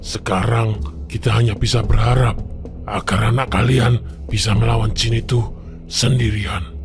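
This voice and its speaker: A man's voice: fairly low pitch, steady tone, normal speed, over music, close to an everyday voice. A man voicing a grave village shaman in a cartoon drama, speaking in a solemn, foreboding way. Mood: grave, ominous.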